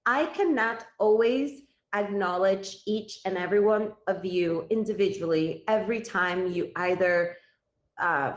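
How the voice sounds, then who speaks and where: A woman in her thirties from Cuba, a native Spanish speaker, hosting a live stream and chatting directly with her viewers. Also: medium pitch. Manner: chatty, addresses viewers directly, thanks gifters. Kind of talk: casual live stream chat. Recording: roomy, slightly dull sound.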